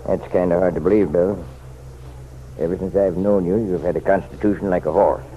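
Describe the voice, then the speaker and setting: A man's voice: low-pitched, steady tone, fairly quick, lightly acted. An actor in an old-time radio drama playing an insistent, folksy townsman who offers pointed, chummy advice. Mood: chummy, probing. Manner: folksy, chummy, leaning and persuasive. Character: insistent, insinuating townsman, nosy self-appointed helper to the sheriff. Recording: muffled, noisy vintage radio recording.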